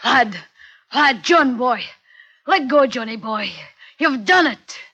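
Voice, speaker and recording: A man's voice: very high-pitched, lively intonation, slow pace, bright, forceful tone, a little hiss or hum, full character voice. An actor in a 1950s radio drama playing a scheming sailor who goads his partner with wheedling urgency. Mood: urgent, goading. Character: scheming, goading murderous male sailor sidekick, Dick.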